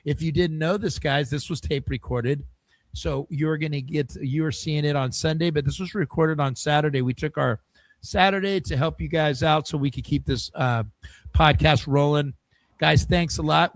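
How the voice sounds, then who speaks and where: A man hosting a casual podcast, his voice a touch higher than most men's. He jokes around with guests and talks loosely. The sound is dry and close, with little room echo. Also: moderate pace. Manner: chatty and joking, leans on fillers like "I mean" and "allegedly". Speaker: podcast host.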